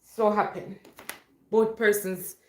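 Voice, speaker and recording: In a noticeably roomy, echoing space at home, a woman hosts a casual livestream. She talks to her viewers in a chatty, playful way and rambles freely as she goes. Her pitch sits in an ordinary middle range for a woman. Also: flat delivery, slow, darkish tone, slight background noise. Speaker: livestream host. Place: indoor room at home.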